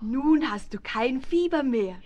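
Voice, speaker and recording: Gentle, motherly animal. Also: high-pitched, lively intonation, measured pace. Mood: soothing. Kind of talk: 1970s children's radio play acting.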